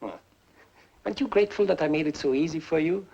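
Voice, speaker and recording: An actor playing a bitter fugitive inventor who taunts theatrically, in a 1950s film style. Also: male voice, a little high, creaky, darkish tone. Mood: gloating, mocking. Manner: sardonic, theatrical, drawn-out taunting. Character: bitter, gloating fugitive inventor, a mocking villain. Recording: muffled, noisy 1950s film soundtrack.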